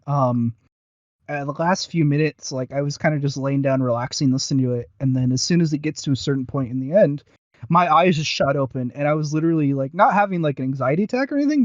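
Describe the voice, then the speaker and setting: Male podcast co-host, halting and enthusiastic, casual reviewer chat, very dry close sound with dulled fidelity. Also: fairly high pitch, no room sound, highs cut off.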